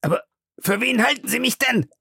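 A reader voicing a scheming male police detective who speaks in a low, confiding, persuasive way. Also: animated, normal speed, vocal fry, sharp tone, breathy edge, dry recording, clean studio sound, heavily acted.

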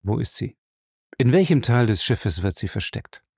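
A man voicing a grim, brutal captain, curt, gruff and threatening, in an audiobook. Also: on the low side, animated, moderate pace, darkish tone, no room sound, studio quality. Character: grim, brutal captain, a menacing male interrogator. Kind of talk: audiobook character acting.

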